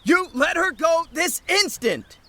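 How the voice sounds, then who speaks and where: Man acting an earnest young man, bright-voiced. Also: very high-pitched, big pitch swings, slow, exaggerated voice. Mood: angry alarm. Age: young adult. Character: earnest, devoted young male biology student. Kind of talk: audio drama dialogue.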